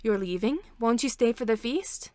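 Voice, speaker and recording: A woman's voice: fairly high pitch, lively intonation, normal speed, darkish tone, no room sound, natural voice. A woman voicing a genial but awkward male town leader who is eager to please in a storybook reading. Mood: awkward, uncertain.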